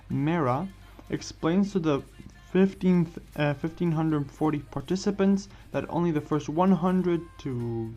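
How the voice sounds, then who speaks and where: A man's voice: fairly high pitch, a little mellow. A man hosting and narrating a scripted fan story for an online video, stumbling over and repeating words as he reads. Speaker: host and narrator of a what-if story series. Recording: muffled lo-fi voice over background music. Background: music.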